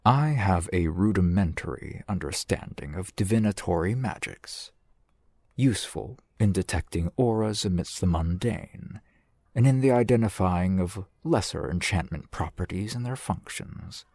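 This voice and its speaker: A man acts out a shrewd, courteous male shopkeeper in a fantasy ASMR roleplay. His voice is low and husky, moving through formal, polite phrases with lively rises and falls. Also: no room sound, studio quality. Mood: thoughtful. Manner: formal, wordy, measured, polite. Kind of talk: ASMR roleplay, scripted character acting.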